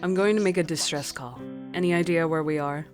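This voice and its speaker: Woman acting stern, low-pitched female starship captain. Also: fairly quick, darkish tone, lightly acted. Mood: grave, controlled. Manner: authoritative, firm. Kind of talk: radio drama acting. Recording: music bed behind the voice.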